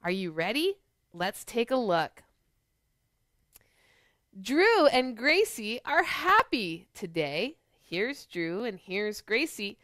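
A middle-aged woman talks to small children as a storytime host. Her voice is high and swoops widely, with an unhurried pace. She mixes chat, questions and picture-book reading in an animated way. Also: dry recording, clean studio sound. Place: small living room at home, on a couch.

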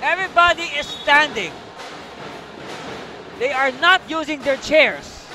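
A man calling a live basketball game from courtside, energetic and shouting on the big plays. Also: very high voice, a little slow. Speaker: play-by-play commentator. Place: courtside booth in a packed arena. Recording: noisy arena broadcast.

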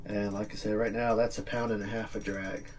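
Middle aged guy doing a casual how-to, kinda deep husky voice, mic is a bit far away. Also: flat delivery, fairly quick, slight background noise. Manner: plain-spoken, informal explaining. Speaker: fishing video presenter. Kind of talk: casual instructional demonstration. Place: small indoor room with green screen backdrop.

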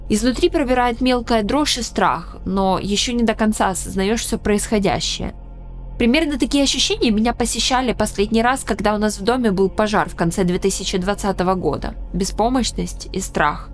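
Young woman host, mid-range voice, measured and earnest scripted narration to camera, quiet music bed. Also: medium pitch. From Ukraine. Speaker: channel host. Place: small indoor room with a studio mic. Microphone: studio microphone in frame.

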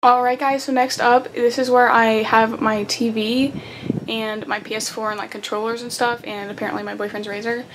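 A woman's voice: a little high, flat delivery, moderate pace, a little hiss or hum. Chatty young woman vlogging in her bedroom. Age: young adult. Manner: chatty, giggly, addresses viewers as guys. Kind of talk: casual vlog narration. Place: small carpeted bedroom.

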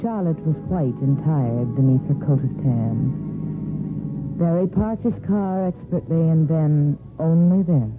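An actor narrating a 1950s radio soap opera as a romantic storyteller. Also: male voice, on the high side, slow. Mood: wistful, measured. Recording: tinny old radio with loud music.

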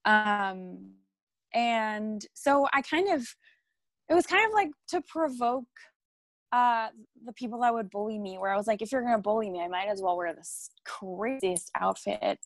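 A woman's voice: medium pitch, animated, measured pace, no echo. A young woman from France as an interview guest, talking casually over a video call with lots of ums and laughs. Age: young adult. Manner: chatty, full of ums, laughs often. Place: home room with art on the wall. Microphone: laptop or phone camera on a video call.

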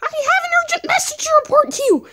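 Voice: very high voice, fast, pushed and bright, slight background noise, voice pushed up. A young performer voicing a frantic little mushroom kid who pleads in a panicky, indignant way. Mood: urgent, distressed.

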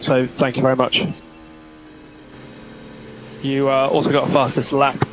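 A man speaks as a race engineer over team radio with a mid-range voice. His delivery is clipped and calm, and he repeats his instructions. Clear car noise runs behind the transmission. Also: medium pitch. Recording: noisy motor racing radio, engine noise.

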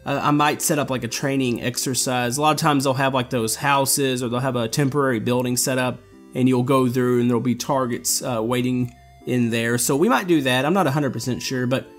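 A man hosting a gameplay video, giving a relaxed, rambling walkthrough full of fillers and restarts as he tours what he built. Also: mid-range voice, a little fast, faint music behind. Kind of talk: casual walkthrough commentary. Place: voice-over while playing a building game.

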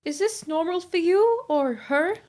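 A woman playing a gentle, principled elven market trader in an audio drama, with an earnest, hesitant manner. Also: extremely high pitch, animated, a little slow. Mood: polite, unsure.

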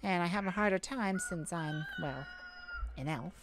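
A woman voicing a shy, gentle, easily flustered elf farmer in an ASMR roleplay, halting and polite with nervous little laughs. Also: on the low side, natural voice. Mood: relaxed, shy. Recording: clear background noise, farm chicken ambience. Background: farm ambience with chickens and rooster.

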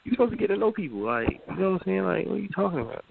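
Gravelly rambling man on tinny audio. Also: high voice, very animated, rapid-fire, a loud crowd. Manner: rambling, repeating himself. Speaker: podcast host. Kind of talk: casual chat.

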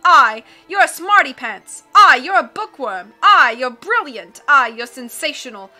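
A woman reading aloud and voicing a blustering male sea captain who booms and keeps saying "aye". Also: big pitch swings, a little slow, sharp tone, soft background music, full character voice. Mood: boisterous. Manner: bellowing, peppers speech with "aye".

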